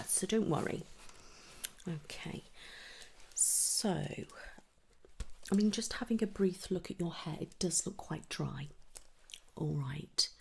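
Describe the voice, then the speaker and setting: Female ASMR clinician, soft whisper with husky fry, gentle and reassuring bedside delivery. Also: sits low. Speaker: ASMR performer playing a trichologist at a hair clinic. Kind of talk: whispered ASMR medical roleplay.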